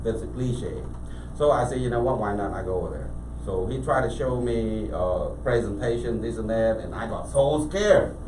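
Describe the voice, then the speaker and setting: A man from Cambodia with a Cambodian accent, a business owner telling his story as a guest in a casual interview, animated and full of restarts. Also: on the low side, normal speed. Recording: echoey room, mic at a distance, faint background noise.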